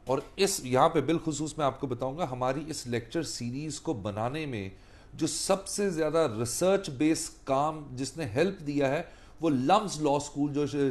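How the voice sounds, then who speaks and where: A man in his thirties with a Pakistani accent, explaining emphatically like a lawyer giving a lecture, deliberate when he switches into English. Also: on the high side. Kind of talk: explanatory talk mixing Urdu and English. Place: small book-lined law office.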